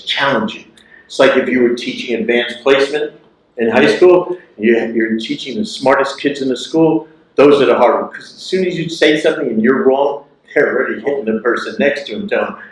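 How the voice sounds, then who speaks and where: Older guy giving a keynote in a big lecture hall, punchy and repetitive, lots of room echo. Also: mid-range voice. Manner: emphatic, animated gesturing, repeats key phrases. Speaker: keynote speaker. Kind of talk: motivational keynote speech. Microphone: lapel mic.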